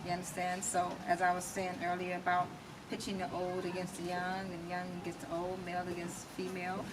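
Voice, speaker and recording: A woman's voice: lowish voice, completely flat delivery, a little fast. A middle-aged American woman answering as an interview guest, explaining her views conversationally and emphatically, checking that she is understood. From United States. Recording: distant mic, loud ambient noise.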